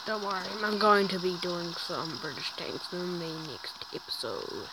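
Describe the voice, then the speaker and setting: A woman with a croaky voice from a sore throat gives chatty, self-deprecating gameplay commentary while reviewing a tank in a video game, often stumbling over her words. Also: on the low side, big pitch swings, normal speed. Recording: loud background noise with clicks.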